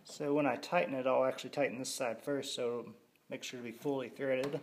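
A man's voice: mid-range voice, steady tone, background noise. A man giving a casual how-to walkthrough in a garage, talking plainly with frequent restarts as he films on a handheld phone. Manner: plain, halting, restarts mid-sentence. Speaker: DIY hobbyist showing a build. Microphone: handheld phone camera.

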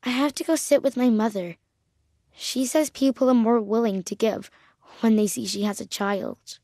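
A woman playing a hungry, worried refugee child from a game, fretting in a fairly high, plain young voice. The sound is close and dry, with no room around it. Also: moderate pace, mellow, no echo, natural voice. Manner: anxious, wondering, plain-spoken. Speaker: video game background character. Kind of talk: video game voice lines.

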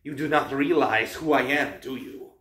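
A cold, menacing monster hiding behind a stern teacher's manners, as a man acts him out. He barks short, formal commands in a middle-pitched voice. The sound is roomy and a little distant from the mic. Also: moderate pace, a bit bright, lightly acted. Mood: gloating.

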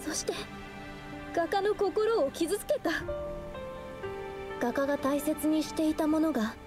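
Young woman painter, high voice, earnest, polite, shy, anime game, loud music behind. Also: animated, measured pace. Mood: resolute. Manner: polite, soft-hearted, earnest. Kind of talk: Japanese anime game voice acting.

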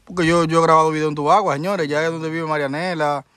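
A man's voice: a little high, steady tone, fast talker, fairly bright tone, clean tone. A man with a Dominican Spanish accent, hosting a casual outdoor interview and talking to his viewers. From Dominican Republic. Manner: friendly, addresses viewers as "señores". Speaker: vlogger host. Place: outdoors in rural hills.

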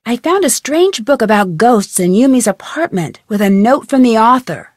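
A young female sleuth from a mystery video game. Her voice is fairly high and recorded dry and close. She speaks in a friendly, polite and inquisitive way, with clearly articulated scripted lines. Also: expressive, normal speed, no echo. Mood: intrigued.

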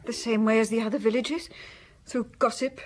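A woman's voice: on the high side, fairly even intonation, moderate pace, a little mellow, natural voice. A woman playing an anxious, protective wife and mother in a radio drama, hesitant and careful. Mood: guarded.

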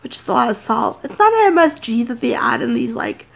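A woman's voice: on the high side, sing-song, brisk, gravelly. Chatty congested woman in her thirties. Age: 30s. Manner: rambling, chatty, many fillers. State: congested, nasal. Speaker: vlogger. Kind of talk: casual sit-down chat to camera. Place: home office, indoors.

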